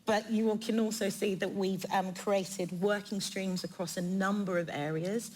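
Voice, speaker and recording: A woman's voice: fairly low pitch, low-quality recording. A woman panellist giving a prepared but conversational, articulate corporate update at a live event. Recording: clear background noise, live event.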